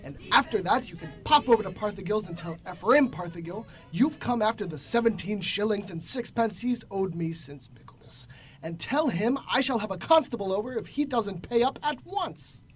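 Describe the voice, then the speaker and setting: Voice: mid-range voice, animated, normal speed, faint music behind, close to an everyday voice. A student actor playing a grumpy, miserly old man in a radio drama, snapping and scornful. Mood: irritable. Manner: snapping, bellowing, contemptuous.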